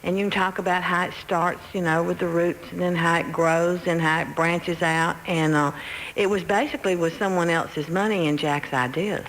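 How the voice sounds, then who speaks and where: A woman's voice: on the low side, steady tone, moderate pace, fairly bright tone. A middle-aged woman, reminiscing anecdotally as a guest in a radio interview. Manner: anecdotal, quoting remembered conversations. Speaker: interview guest, music publishing executive. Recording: noisy, hall-like reverberant radio aircheck.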